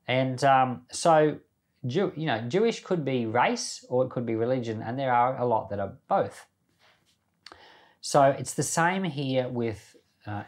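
A man teaching the Bible informally, speaking conversationally and putting questions to his listeners as he explains. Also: mid-range voice. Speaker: Bible teacher and podcast host.

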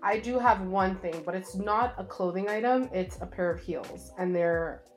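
A woman's voice: medium pitch, normal speed, faint music behind. Woman, from Canada, podcast guest, playful, talkative, laughs a lot. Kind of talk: casual chat.

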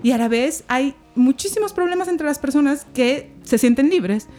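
A young woman, a podcast guest speaking in a thoughtful, measured way and asking rhetorical questions. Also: high voice, expressive, mellow, soft background music. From Peru. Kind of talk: reflective conversation.

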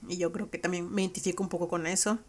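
A woman's voice: on the low side, fairly even intonation, rapid-fire. A woman with a Mexican accent hosting a podcast, recapping a conversation in a reflective, conversational way. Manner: reflective, conversational, frequent "¿no?" tags. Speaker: podcast host.

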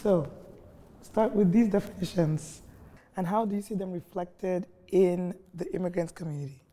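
A woman hosting a panel discussion in a large library reading room, speaking in a measured way and reading definitions carefully. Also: on the low side, warm tone. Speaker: host and immigration manager. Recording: long reverb of a large hall.

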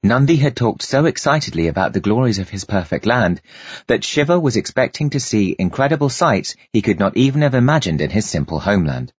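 Deep, husky male audiobook narrator. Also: no room sound, dull audio. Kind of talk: dramatic scripted narration.

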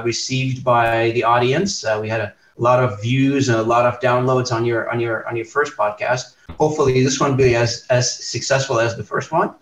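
A man's voice: mid-range voice, fairly bright tone. A man hosting a podcast interview, speaking hesitantly with many fillers and restarts. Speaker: podcast host. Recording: roomy, distant, dull sound.

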